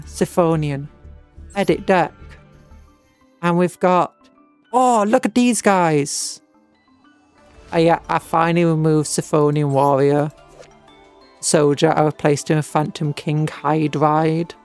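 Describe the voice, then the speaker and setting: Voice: mid-range voice, gravelly, a little mellow. A British livestream host chatting casually in a rambling, hesitant way, often trailing off and restarting. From Britain. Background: music.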